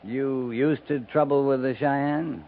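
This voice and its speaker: An actor playing a steady, weary US cavalry captain in a 1950s radio western, speaking in a calm, laconic, plain-spoken way. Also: male voice, mid-range voice, slow pace, gravelly. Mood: businesslike. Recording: noisy, tinny 1950s radio recording.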